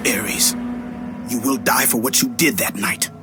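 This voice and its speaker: An actor playing a grim, vengeful Spartan warrior in a video game, forceful and dramatic, with music behind him. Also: male voice, mid-range voice, a little slow, close to an everyday voice. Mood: grim resolve. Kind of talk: video game cutscene acting.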